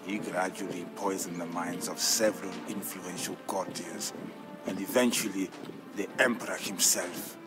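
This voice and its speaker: A man acting as a solemn Byzantine Greek storyteller, narrating his family's war tale for a game in a grave, measured, theatrical way. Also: sits low, steady tone, loud music behind the voice, lightly acted. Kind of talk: dramatic scripted narration.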